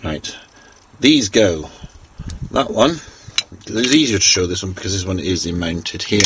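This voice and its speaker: A man with a low voice talks through equipment in an informal, hands-on way. His delivery is slow and halting, unscripted, as if working it out while he goes. The amateur recording has clear noise behind him. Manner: halting, hesitant, unscripted, thinking aloud. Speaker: vlogger giving a technical walkthrough. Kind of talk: informal hands-on show-and-tell narration.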